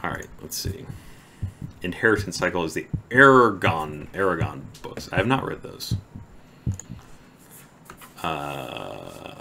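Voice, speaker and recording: Male streamer, low and bright-edged voice, halting casual chat at a desk microphone. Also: vocal fry, a little hiss or hum. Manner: halting, trails off into quiet mumbles. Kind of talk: slow, deliberate poetry reading.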